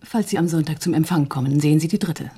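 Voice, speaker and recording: Quick, husky, teasing office woman. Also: low-pitched, dark tone. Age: 30s. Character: brisk, teasing woman office employee of thirty-nine. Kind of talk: radio play acting.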